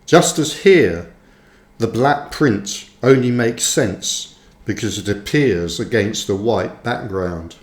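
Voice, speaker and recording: A man reading his own reflective book aloud like an audiobook, with deliberate, measured phrasing and pauses. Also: on the low side, animated. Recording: roomy, echoing voice recording.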